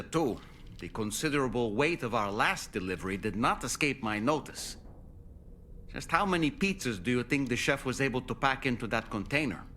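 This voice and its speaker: An actor playing an erudite, chatty psychologist companion in a game, speaking in an articulate, wry, professorial way. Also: male voice, lowish voice, pushed and bright, a little hiss or hum. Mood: wry, amused. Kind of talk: game companion dialogue, explanatory.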